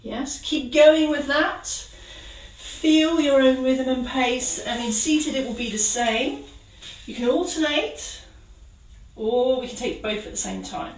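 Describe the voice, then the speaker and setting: A woman leading a gentle exercise class, giving calm, encouraging step-by-step cues. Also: high voice, slow, distant mic. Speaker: exercise instructor. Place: kitchen. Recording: roomy sound from away from the mic.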